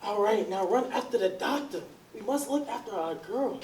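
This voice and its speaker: A woman's voice: medium pitch, animated, moderate pace, darkish tone, slight background noise, close to an everyday voice. A woman playing an earnest, bossy, lovelorn husband in a staged reading with Southern dialect. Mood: urgent. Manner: urgent, pleading, commanding. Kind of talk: staged readers theatre, Southern period dialect. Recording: echoey, distant stage recording.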